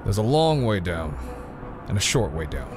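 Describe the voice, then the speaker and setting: An actor playing a terse, stern masked vigilante in an audio drama. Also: male voice, deep voice, big pitch swings, normal speed, music in the background.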